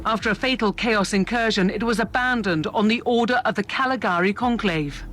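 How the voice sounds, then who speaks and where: A dutiful officer in a science-fiction game. His voice sits high for a man, and he reports factually and respectfully. A faint noise lies behind the voice. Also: a little fast, fairly bright tone. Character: diligent, formal ship officer advising a superior. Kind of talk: video game cutscene acting.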